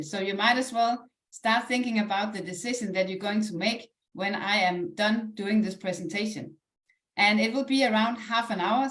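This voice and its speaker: A woman with a Danish accent giving a live online motivational sales presentation in an earnest, encouraging, conversational way. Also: mid-range voice. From Denmark. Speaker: online presenter, network marketing ambassador. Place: indoors, echoey room, live stream. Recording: roomy, echoey live online call.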